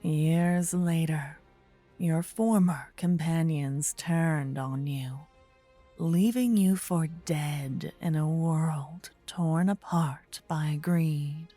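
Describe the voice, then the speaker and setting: A woman narrating a scripted fantasy story in a soft, breathy ASMR style. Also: low voice, extremely slow, faint music behind.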